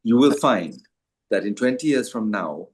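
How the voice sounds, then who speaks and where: An older man with a lowish voice talks his way through answers, circling back with "um" and restarts as he gathers his thoughts. He speaks as an author chatting to an audience, unhurried and conversational. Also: lively intonation, breathy edge. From Sri Lanka. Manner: hesitant, many fillers and restarts.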